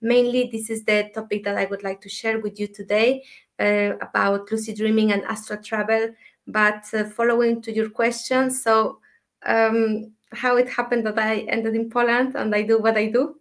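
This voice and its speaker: A woman speaks softly and thoughtfully. Her voice sits fairly high, but her pitch hardly rises or falls, and she keeps her level tone. She often hesitates as she tells her story in an interview. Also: flat delivery, moderate pace. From Ecuador. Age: 30s. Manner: soft, reflective, many hesitations. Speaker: guest, dream mentor.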